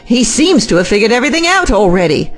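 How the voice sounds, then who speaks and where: A woman's voice: fairly high pitch, sing-song, fast talker, soft background music. A woman playing an impatient scientist, a villain's accomplice, in an audio drama.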